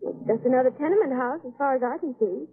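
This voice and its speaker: An actress playing a detective's witty, sophisticated companion in a 1930s radio mystery, refined, wry and inquisitive. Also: female voice, high-pitched, quick pace, husky, phone-quality sound. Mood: curious, wry. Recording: noisy, band-limited 1930s radio recording.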